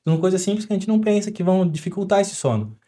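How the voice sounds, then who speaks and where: A Brazilian man talks quickly as a podcast guest, with a somewhat raised voice. He explains health habits through homely everyday examples and keeps a chatty, steady flow. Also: high voice. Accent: Brazilian Portuguese. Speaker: doctor guest. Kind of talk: podcast interview.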